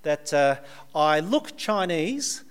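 A man's voice: on the high side, very animated, slow, clear, resonant tone. A man with an Australian accent, preaching a sermon to a live audience in a conversational way, with dry humorous asides.

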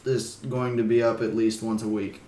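A man's voice: fairly low pitch, level tone, moderate pace, echoey room, far from the mic, crowd noise. A young man hosting a casual vlog, restless and easily annoyed, chatting loosely with a friend. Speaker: vlog host. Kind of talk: casual chat.

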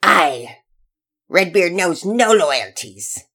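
A woman voicing gruff male pirates in a storybook reading, growling pirate talk with loud exclamations. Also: fairly low pitch, expressive, drawn-out words, heavily acted. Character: gruff male pirates, Redbeard and Cockeye Jay.

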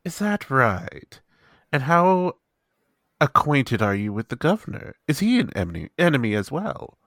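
A smug, flirtatious rogue acted by a man with a creaky voice that swoops around in teasing, syrupy endearments. He is cocky and takes his time, as a character in a tabletop game. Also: medium pitch, sing-song, unhurried, a bit bright, no room sound, clean studio sound. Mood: coy, probing.

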